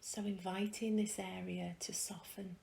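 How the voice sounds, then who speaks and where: Slow, soft woman guiding a meditation. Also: mid-range voice, level tone, creaky, a little hiss or hum. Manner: soft, soothing, gentle delivery with long pauses. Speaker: meditation guide. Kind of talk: guided meditation.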